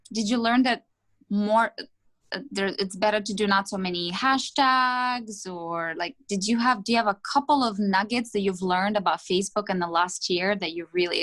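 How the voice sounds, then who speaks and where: A woman's voice: medium pitch, normal speed, a bit bright. A woman, an insurance agent asking for advice in a casual chat. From Romania. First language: Romanian.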